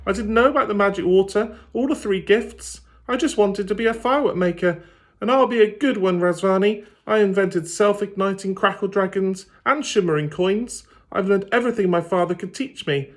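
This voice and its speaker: High little girl voice from a man reading, breathless and begging, earnest. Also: normal speed, natural voice. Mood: pleading. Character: frightened determined young girl. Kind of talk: storybook read-aloud.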